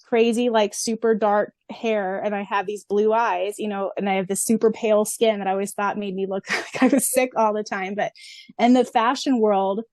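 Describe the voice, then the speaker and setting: A woman's voice: mid-range voice, fairly even intonation, normal speed, darkish tone, no room sound. Female podcast co-host, thirties, mid-range voice, casual and giggly, dry recording. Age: 30s. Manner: chatty, giggly, acts out quotes. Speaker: podcast co-host and audiobook reader. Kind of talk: casual fan podcast chat.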